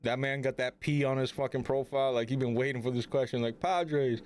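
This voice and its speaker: A young man hosting a casual podcast, relaxed and slangy, hyping up his friends. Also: mid-range voice, fairly quick. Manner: laid-back, slangy, hypes people up. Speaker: podcast host. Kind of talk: casual chat.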